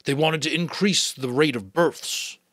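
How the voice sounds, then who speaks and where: Man putting on a wise old keeper of memories, slow measured speech, strained higher tone. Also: a bit bright, no room sound, studio quality. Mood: grave.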